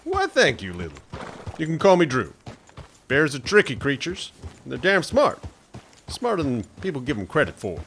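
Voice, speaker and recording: An actor playing a boastful frontier hunter who spins folksy tall tales in a radio drama. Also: male voice, fairly high pitch, huge pitch swings, normal speed, long pauses, a bit bright, a little hiss or hum, full character voice.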